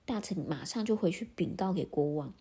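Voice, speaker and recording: Gentle, mellow woman storyteller. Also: lowish voice, expressive, a little fast, slightly rough. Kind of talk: children's story narration.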